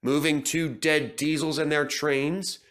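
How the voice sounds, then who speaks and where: A man's voice: a little high, level tone, a little slow, a bit bright. A man playing a practical engine driver with a considered, encouraging manner, in a storybook reading. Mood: thoughtful.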